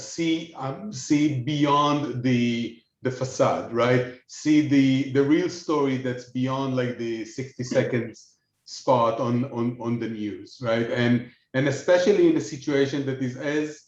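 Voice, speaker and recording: A man's voice: medium pitch, darkish tone. Earnest man in an echoey room. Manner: earnest, repeats words, asks "right?". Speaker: panelist. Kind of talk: casual panel talk over video call. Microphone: video call. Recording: echoey, dull video call audio.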